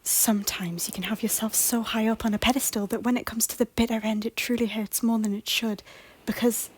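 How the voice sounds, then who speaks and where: A woman playing a hushed stranger by the harbour. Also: on the high side, steady tone, brisk, slightly rough, dry recording. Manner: hushed, often half-whispered. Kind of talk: amateur audio drama acting.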